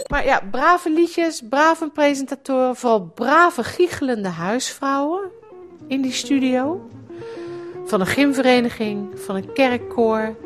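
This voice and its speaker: A middle-aged woman with a fairly high voice looks back in a personal radio essay. She tells her memory in a wry, reflective way. Soft music plays faintly behind her. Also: lively intonation, slow pace. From Netherlands. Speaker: writer recounting a memory.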